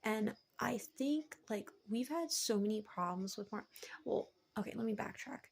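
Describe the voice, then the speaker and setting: A tired woman with a cracking, slightly hoarse voice, chatting casually to viewers as a vlogger in a hesitant, meandering way, peppered with "like" and "you know". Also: mid-range voice, moderate pace. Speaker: vlogger and online reseller. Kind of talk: casual rambling chat to viewers.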